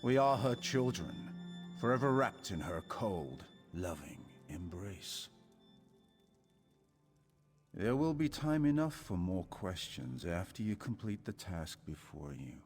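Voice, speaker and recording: A sinister man who recruits assassins for a dark cult in a video game. His deep voice moves slowly and theatrically, rising and falling with silky menace. Soft music plays behind him. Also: very animated. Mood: reverent.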